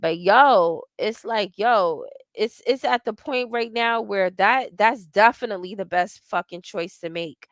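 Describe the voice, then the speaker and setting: A woman's voice: medium pitch, measured pace, hardly any pauses, no echo. A woman in her forties hosting a casual livestream, talking in a blunt, profane and rambling way and reading out viewer comments. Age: 40s. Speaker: livestream host.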